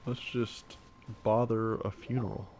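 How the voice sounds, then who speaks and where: A man's voice: on the low side, little pitch movement, unhurried, a little mellow, slight background noise. A man giving casual live let's play commentary in short, dry, joking remarks. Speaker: game streamer.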